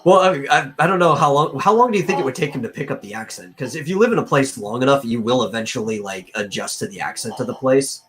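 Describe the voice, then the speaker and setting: Guy with average pitch, stream cohost, casual chat, kinda analytical explainer type. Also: fast, hardly any pauses, fairly bright tone. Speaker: live stream co-host.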